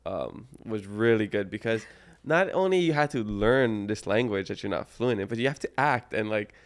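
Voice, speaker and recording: A man's voice: lowish voice, moderate pace. Laid-back man. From Hawaii. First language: Hawaiian. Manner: relaxed, rambling with many fillers. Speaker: podcast host. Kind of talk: casual podcast interview.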